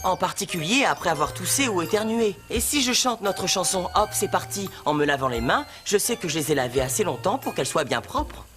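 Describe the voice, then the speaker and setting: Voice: mid-range voice, lively intonation, brisk, hardly any pauses, natural voice. Patient cartoon handyman, reassuring teacher, acted. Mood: patient, instructive. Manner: reassuring, instructive, sprinkles in Spanish words. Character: kind, patient handyman teaching children about safety. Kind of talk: children's cartoon dubbing. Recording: music behind the voice.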